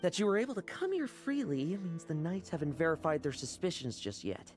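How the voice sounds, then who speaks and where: High, intense, reckless young man. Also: big pitch swings, fairly quick, faint music behind. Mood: resolute. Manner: intense, insistent. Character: reckless, determined young man obsessed with gaining power. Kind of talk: video game voice acting.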